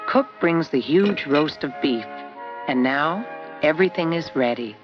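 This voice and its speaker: A narrator reading a scripted 1970s educational film in a measured storytelling delivery. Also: medium pitch, lively intonation. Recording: dull lo-fi 1970s film soundtrack. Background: guitar music.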